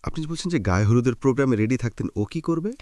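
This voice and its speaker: Casting: male radio interviewer, Bangladeshi accent, dark low tone, brisk questions, very dry close sound. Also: animated, no room sound. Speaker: radio show host interviewing a guest. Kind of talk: conversational interview with short prompts.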